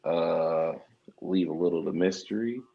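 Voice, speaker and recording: A man's voice: low-pitched, unhurried, creaky, muffled recording. A man reading out screenplay directions and speaking casually as the writer at a table read. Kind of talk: screenplay narration.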